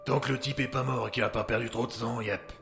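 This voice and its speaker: A man as a gravelly, world-weary sardonic healer. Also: medium pitch, steady tone, quick pace, fairly bright tone, faint music behind. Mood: dry, blunt. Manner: blunt, sardonic, laconic. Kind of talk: audio drama acting.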